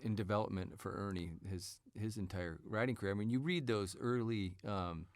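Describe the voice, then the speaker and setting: Low-voiced man chatting on a podcast. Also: moderate pace, dry recording, studio quality. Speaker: podcast discussion participant. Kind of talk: casual podcast discussion.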